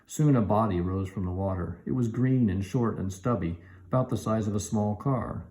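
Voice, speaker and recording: A man reading a children's storybook aloud in an animated narrating style. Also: fairly low pitch, normal speed. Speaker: elementary teacher reading aloud.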